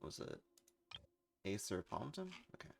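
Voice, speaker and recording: A man's voice: lowish voice, big pitch swings, measured pace, gravelly. A man casually commentating on a game as he plays live, rambling and thinking aloud. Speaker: game streamer.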